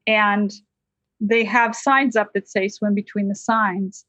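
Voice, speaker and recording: An American woman, a licensed counselor, telling a personal story as a podcast guest in a lively, conversational way. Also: medium pitch, slow pace, no echo, highs cut off. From United States.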